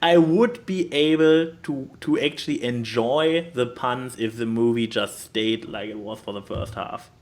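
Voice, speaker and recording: A man's voice: mid-range voice, unhurried, few pauses. A man co-hosting a podcast, talking casually about films and emphatically repeating phrases for stress. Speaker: podcast co-host. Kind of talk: casual film chat.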